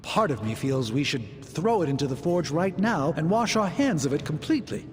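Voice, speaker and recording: A man playing an anxious occult researcher in a video game cutscene, speaking formally and gravely with hesitant pauses. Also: a little high, very animated. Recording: long reverb, music underneath.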